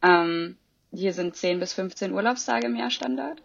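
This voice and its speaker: A woman's voice: mid-range voice, normal speed, creaky, no room sound. A woman in her twenties chatting in an interview, rambling with many fillers and restarts. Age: 20s. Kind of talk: casual interview chat. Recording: dull lo-fi dry sound.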